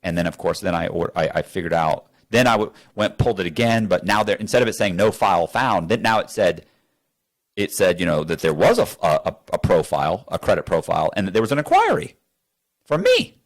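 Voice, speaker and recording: Deep-ish guy in an interview, rambly blunt storyteller, does both sides of the dialogue. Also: very animated, a little fast. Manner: rambling, restarts sentences, quotes both sides of conversations. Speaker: interview guest. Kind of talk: animated storytelling with acted-out dialogue. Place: studio.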